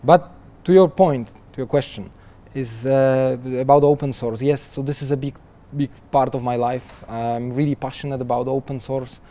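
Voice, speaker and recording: Young male developer guest, warm mellow voice, easygoing interview talk that trips over itself. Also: medium pitch, steady tone, normal speed, hardly any pauses. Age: young adult. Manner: chatty, restarts often with repeated words, laughs lightly. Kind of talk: conversational interview answers. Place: conference interview booth, seated in armchair.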